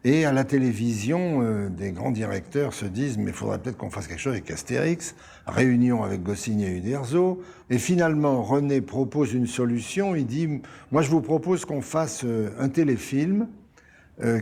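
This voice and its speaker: A man's voice: mid-range voice, gravelly, dark tone, slightly rough. An older man recalling the past in an interview, hesitating between phrases. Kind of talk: conversational recollection.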